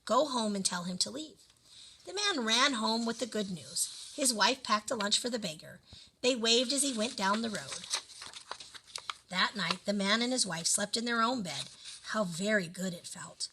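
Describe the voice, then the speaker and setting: A woman reading a children's story aloud in an expressive, dramatic storytelling manner. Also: mid-range voice, normal speed, a little hiss or hum, rough recording. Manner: animated, dramatic delivery of characters.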